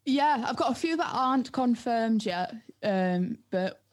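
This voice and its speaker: A woman's voice: on the high side, lively intonation, a little slow. A young woman with a northern accent from the North East of England, chatting casually in a giggly, slangy, informal way. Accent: Northern English, North East. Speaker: DJ and music producer. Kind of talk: casual chat.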